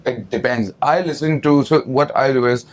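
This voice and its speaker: A young man from India with an Indian accent, a touring band singer, talking loosely and frankly as a guest in a casual interview. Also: mid-range voice. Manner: chatty, loose and frank, with quick asides. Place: outdoors at a hot festival.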